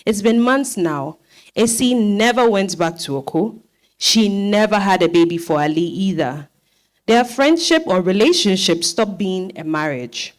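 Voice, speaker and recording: A woman with a Ghanaian accent narrates and presents a stage reading. Her voice sits at a middle pitch as she addresses the audience as its director. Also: expressive, unhurried.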